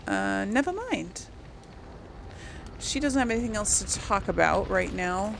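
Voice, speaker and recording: Female let's player, casual commentary, noticeable fry in the voice. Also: medium pitch, animated, measured pace, slight background noise. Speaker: gameplay streamer role-playing a character.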